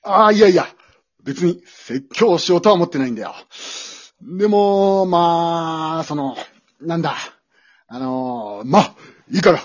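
A pushy, fervent male teacher acted out by an amateur performer in a comic radio play. The voice is husky and leaps dramatically from murmurs to big cries. The sound is slightly dull and lo-fi. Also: medium pitch, expressive, unhurried. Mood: awkward, hesitant. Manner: melodramatic, impassioned, swinging from hushed to shouting. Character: passionate, pushy male high school teacher obsessed with visual-kei.

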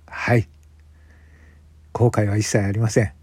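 A man's voice: on the low side, level tone, measured pace, a little mellow, slightly rough, dry recording. A man playing a polite, earnest young suspect in a radio drama, devoted and unrepentant. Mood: calm conviction.

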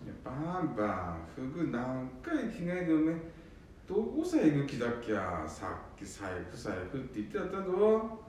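A man's voice: on the high side, huge pitch swings, moderate pace, darkish tone, a little hiss or hum. A man playing a short-tempered, work-weary son grumbling in Nanbu dialect in an amateur radio drama. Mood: weary, irritated. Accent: Nanbu dialect, northern Tohoku. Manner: grumbling, exasperated. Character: short-tempered, work-weary eldest son who cares for his parents. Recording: very echoey, distant from mic.

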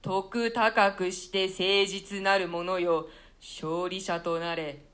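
A woman voicing the ghost of an old murdered king in a radio play reading, speaking slowly and solemnly. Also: fairly low pitch, flat delivery, a bit bright. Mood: blessing. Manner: slow, solemn delivery.

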